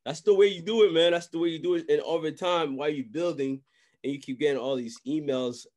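A young man in a casual chat, giving advice in an animated, slangy way and often repeating phrases. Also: a little high, a little fast. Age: young adult. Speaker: podcast host and music industry adviser. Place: small indoor room, video call. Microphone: desk microphone, close.

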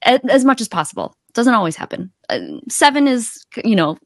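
A woman's voice: on the high side, expressive, a little fast, no room sound. A woman in her forties with an American accent, giving casual conversational advice as a podcast guest on a close microphone. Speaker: podcast guest, accountability coach. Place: home room indoors, video call.

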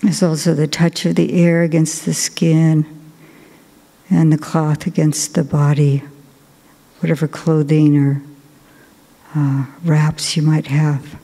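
Slow, gentle woman guiding meditation. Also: deep voice, level tone. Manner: slow, gentle, soft-spoken with long pauses. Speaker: Buddhist nun leading meditation. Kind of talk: guided meditation. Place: large cathedral. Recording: long cathedral reverb.

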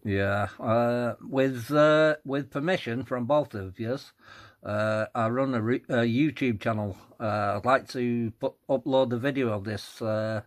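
A man making casual radio contacts with call signs, in halting procedural phrasing. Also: mid-range voice. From England. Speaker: amateur radio operator.

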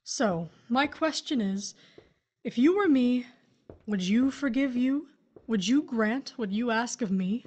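A woman playing a wronged widow and mother of grown children in an audio drama, earnest, measured and firm but torn. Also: on the high side, lively intonation, a little mellow. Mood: firm, probing.

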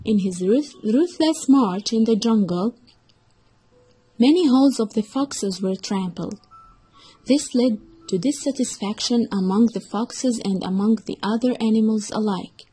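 A woman's voice: fairly high pitch, unhurried, dark tone, husky, soft background music, low-quality recording. A woman reading a scripted children's story as the narrator.

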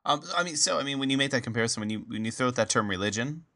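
A Canadian man hosts a relaxed podcast interview in a bright, forward voice. He rambles a bit, restarting his sentences and filling pauses with "um" and "uh.". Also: mid-range voice. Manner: rambling, many fillers and restarts. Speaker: podcast host. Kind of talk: casual interview.

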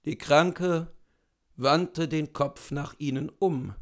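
A man in his thirties reading classic fiction aloud in a deliberate, theatrical storytelling style. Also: on the high side, a bit bright. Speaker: literary reader. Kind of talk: expressive audiobook narration of classic fiction. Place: small indoor room with bookshelves.

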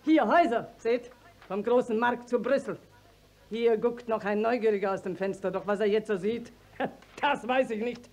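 A woman's voice: fairly high pitch, normal speed, a little mellow. An actress in a 1950s radio play voicing an indignant, long-winded village widow who declaims her complaint insistently and in relished detail. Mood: indignant, elaborate.

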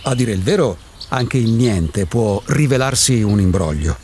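A man's voice: lowish voice, expressive, measured pace, a little mellow, slight background noise. An actor in a radio drama playing an eccentric, solitary man who insists he does not exist, philosophizing in a provocative, impatient way. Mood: defiant. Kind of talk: Italian radio drama acting.

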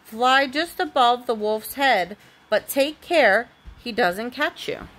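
A woman voicing a bold, quick-thinking young boy in a storybook, confidently calling out. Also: on the high side, animated, a bit bright.

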